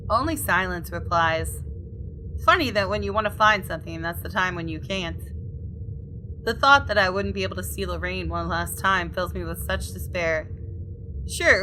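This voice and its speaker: A woman gamer with a bright, forward voice at a middle pitch. She reads visual novel narration dramatically and drops in casual commentary, with faint noise and game ambience behind her. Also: moderate pace, low-quality recording. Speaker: gaming YouTuber.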